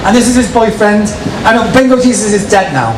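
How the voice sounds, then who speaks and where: A man performing poetry live as a dramatic recital, with theatrical, rhythmic, drawn-out phrasing. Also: sits high. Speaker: performance poet and compere. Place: outdoor city square, poetry tent. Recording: distant, echoey, noisy outdoor live recording. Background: street ambience and passers-by noise.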